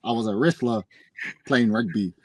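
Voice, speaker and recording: New Zealand man, relaxed and easygoing, mid-pitched voice, close dry mic. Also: normal speed, dry recording. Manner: laid-back, says "bro" often. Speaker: guest, pro wrestler. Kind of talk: casual interview chat.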